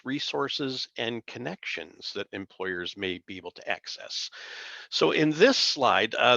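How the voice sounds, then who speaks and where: A man giving a formal slide presentation on a conference call, reading carefully with occasional halting self-corrections, over dull lo-fi call audio. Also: medium pitch, gravelly, pushed and bright, dry recording. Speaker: workforce agency presenter.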